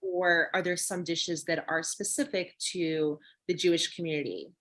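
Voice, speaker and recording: A woman's voice: fairly low pitch, fairly even intonation, moderate pace, fairly bright tone. A woman hosting a casual interview, working her way tentatively and in a roundabout way toward each question. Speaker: interviewer, host.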